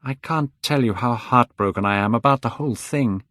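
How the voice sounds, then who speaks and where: A man reading aloud, voicing an older painter who sounds worried, gentle and pleading. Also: medium pitch, fairly quick, dry recording, clean studio sound, voice pushed up, close to an everyday voice. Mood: anxious, pleading.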